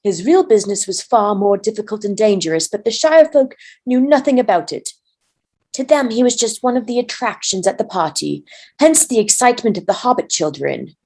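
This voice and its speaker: A woman's voice: mid-range voice, moderate pace, a little mellow. A woman narrating a storybook as a volunteer reader.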